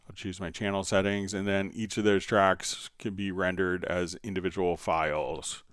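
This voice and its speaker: A man hosting a casual live software tutorial, explaining step by step in a conversational way as he answers viewers. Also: deep voice, flat delivery, moderate pace, clear, resonant tone, no echo, clean studio sound. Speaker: livestream host and software instructor.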